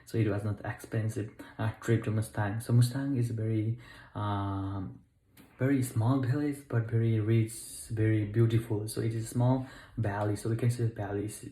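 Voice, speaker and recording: A young man from Nepal talking to camera about his trip home, halting often with fillers and self-corrections. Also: lowish voice, steady tone, mellow. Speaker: travel vlogger. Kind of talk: unscripted talk to camera. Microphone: camera mic. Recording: echoey room.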